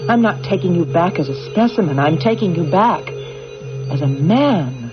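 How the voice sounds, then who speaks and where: An actress playing an earnest, romantic alien woman in a 1980s radio drama, speaking in a measured, formal way. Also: lowish voice, expressive, close to an everyday voice. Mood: earnest, solemn. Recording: dull vintage radio recording with music.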